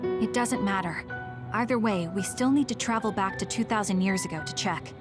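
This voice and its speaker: A woman's voice: medium pitch, moderate pace, music in the background, lightly acted. A woman playing a serious, matter-of-fact young female soldier in a dubbed video game cutscene.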